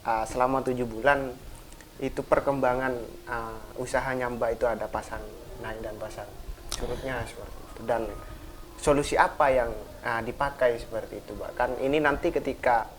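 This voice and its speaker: Man, podcast host, long rambling questions, mid-pitched voice, faint hiss. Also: fairly even intonation, normal speed, rough recording. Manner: long, wordy questions with many hesitations. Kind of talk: casual podcast interview.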